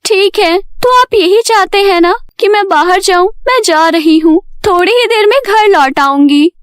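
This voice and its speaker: A woman's voice: very high-pitched, measured pace, no echo, voice pushed up. A woman playing a timid, gloomy young daughter in a story, with a plaintive, exclaiming manner. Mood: dejected.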